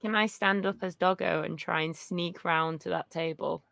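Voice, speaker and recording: A woman's voice: lowish voice, steady tone, normal speed, creaky, fairly bright tone. A woman chatting casually as a player in a tabletop role-playing game.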